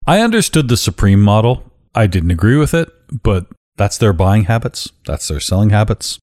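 Deep-voiced, animated male podcast host. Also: moderate pace. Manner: joking, blunt, swears casually. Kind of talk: casual podcast chat.

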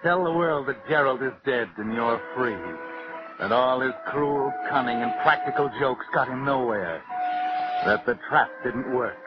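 An actor playing a sardonic, all-knowing narrator in 1940s radio suspense style. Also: male voice, mid-range voice, measured pace, natural voice. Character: sardonic, omniscient male mystery narrator addressing the villainess. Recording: muffled vintage radio with loud music.

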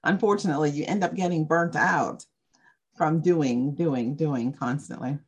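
A woman's voice: sits low, normal speed, slightly rough. A woman hosting an interview, affirming her guest and asking reflective questions. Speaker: podcast host. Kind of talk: interview conversation.

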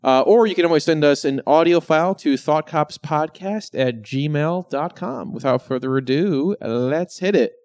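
A man's voice: a little high, normal speed, few pauses, a little mellow. A man hosting a podcast, moving the show along and reading out contact details. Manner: reads out plugs and contact details. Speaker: podcast host. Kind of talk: casual chat, show segues.

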